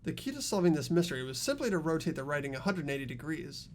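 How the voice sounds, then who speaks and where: A man's voice: a little high, sing-song, fast talker, slight background noise. A man voicing an earnest young male student who puzzles out clues in an amateur visual novel game dub. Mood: explaining.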